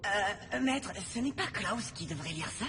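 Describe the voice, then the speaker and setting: A woman's voice: mid-range voice, animated, measured pace, pushed and bright, slight background noise. A woman playing a flustered young apprentice in a dubbed cartoon, stammering and hesitantly sounding out syllables. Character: flustered young apprentice fumbling through a magic spell. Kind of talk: dubbed cartoon comedy acting.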